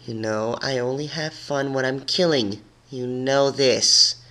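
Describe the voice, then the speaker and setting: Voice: medium pitch, measured pace. Someone doing a grumpy, curt cartoon mare. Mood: menacing deadpan. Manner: curt, irritated, monotone when bored. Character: grumpy, bitter, menacing female cartoon pony. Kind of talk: cartoon character voice in fan fiction reading.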